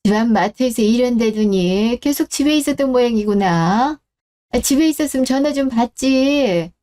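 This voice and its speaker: A woman's voice: fairly high pitch, lively intonation, moderate pace, dark tone, natural voice. A woman voicing a doting older grandmother in a radio drama, talking in an affectionate, coaxing way. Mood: reproachful. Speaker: voice actor reading a radio drama.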